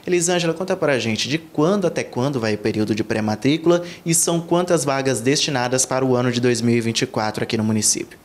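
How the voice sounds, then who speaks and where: A man's voice: mid-range voice, fast talker, hardly any pauses. A young male TV reporter asking formal, prepared questions in an interview, indoors on a handheld mic.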